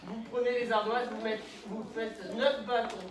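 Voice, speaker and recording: A man's voice: high voice, steady tone, normal speed, background noise. A male kindergarten teacher with a stuffy nose from a cold, leading a playful lesson and coaxing young children along. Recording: echoey room, voice a bit far from mic. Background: children talking nearby.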